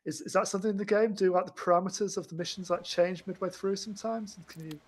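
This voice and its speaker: Higher-voiced man chatting about films. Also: fairly even intonation, fast talker. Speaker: podcast co-host. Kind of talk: casual film discussion.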